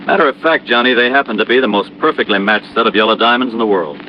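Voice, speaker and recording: An American actor playing an earnest, trusting, slightly anxious insurance office manager in a 1950s radio drama. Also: male voice, medium pitch, fast, natural voice. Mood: explaining. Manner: earnest, explanatory, conversational. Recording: dull, noisy old radio recording.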